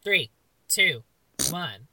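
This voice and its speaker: A young man with a very high voice, co-hosting a casual chat and joking in a campy, playfully dramatic way. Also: expressive, very slow, bright, forceful tone, rough recording. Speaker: podcast co-host.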